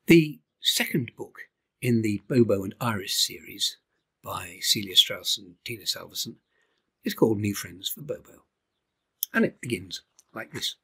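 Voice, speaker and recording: A man in his seventies reading a children's storybook aloud in an expressive, theatrical way. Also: on the low side, a little slow, slightly rough. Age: 70s. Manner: theatrical, animated delivery with hushed asides. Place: indoors, small timber-beamed room at home.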